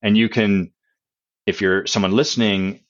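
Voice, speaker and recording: A man, the author and guest, explaining his concepts in a relaxed podcast chat with examples and analogies, with a loud voice. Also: fairly low pitch, normal speed, highs cut off.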